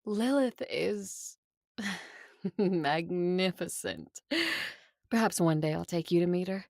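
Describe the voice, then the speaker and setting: A woman acting a composed, tender and teasing vampire in an audio drama, with an intimate delivery and a husky voice. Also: lowish voice, takes it slowly, darkish tone, no echo, studio quality. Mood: wry, reminiscing. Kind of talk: first-person audio drama acting and narration.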